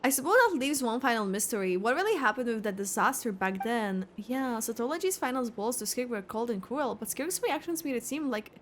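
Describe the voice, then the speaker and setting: A woman giving casual, expressive live commentary on a video game, thinking aloud as she reacts. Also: fairly high pitch, a little fast, few pauses, slight background noise. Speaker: gamer reacting to story quest.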